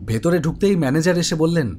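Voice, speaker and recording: A man's voice: on the high side, animated, fast, mellow, a little hiss or hum, close to an everyday voice. A man playing an office web developer who narrates his own ghost story in a dramatic, suspenseful way. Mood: reflective narrating. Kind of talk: scripted first-person horror story narration. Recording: music behind the voice.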